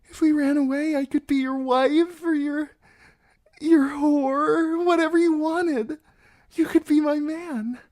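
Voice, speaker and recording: A woman voicing a frightened young bride who pleads in a high, trembling, breathy voice. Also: steady tone, slow, a little mellow. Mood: desperate.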